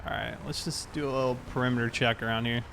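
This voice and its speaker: A man chatting casually and thinking aloud in a halting way as he livestreams a police roleplay game. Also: mid-range voice, fairly even intonation, vocal fry, a bit bright, a little hiss or hum.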